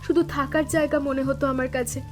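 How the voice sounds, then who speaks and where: A woman playing a timid, grateful younger sister-in-law in an animated family drama, speaking in an earnest, emotional, deferential way. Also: high-pitched, fast talker, lightly acted. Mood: tender. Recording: music bed with rain sounds.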